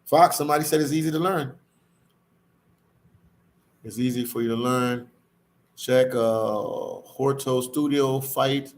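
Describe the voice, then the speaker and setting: A man hosting a casual livestream, chatting to viewers and reading their comments, with a slangy, repetitive way of talking that often addresses them as "man". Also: mid-range voice. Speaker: live stream host reading viewer comments. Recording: clear background ambient noise.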